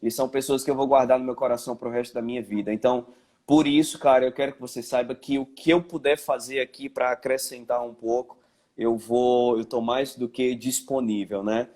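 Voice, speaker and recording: A man's voice: medium pitch, fairly even intonation, fairly quick, warm tone. A man in his thirties from Caruaru with a slightly mixed Pernambuco accent, a pastor talking with heartfelt, grateful emotion on a church livestream, full of "né" and "cara". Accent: Pernambuco Portuguese, slightly mixed. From Caruaru, Brazil. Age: 30s. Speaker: pastor, invited guest.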